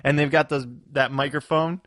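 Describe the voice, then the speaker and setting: A man co-hosting a podcast, a touring performer chatting in a relaxed, easygoing way and voicing strong opinions. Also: on the high side, moderate pace, dry recording, studio quality. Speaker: podcast co-host. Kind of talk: casual chat.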